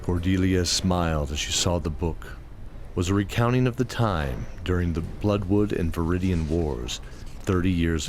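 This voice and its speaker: A man reading scripted fantasy audio drama narration in a measured storytelling manner. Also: sits low, a little hiss or hum. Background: music.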